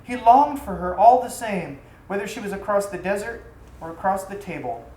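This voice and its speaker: A woman's voice: mid-range voice, expressive, moderate pace, warm tone. Woman storyteller in an echoey room. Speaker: storyteller reading aloud. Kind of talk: scripted narration of an exotic tale. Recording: roomy echo, faint background noise.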